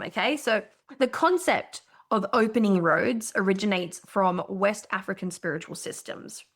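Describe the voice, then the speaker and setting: A woman's voice: mid-range voice, fairly bright tone. A woman from Brazil co-hosting a podcast, explaining things in an enthusiastic, casual way with the odd swear word. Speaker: podcast co-host.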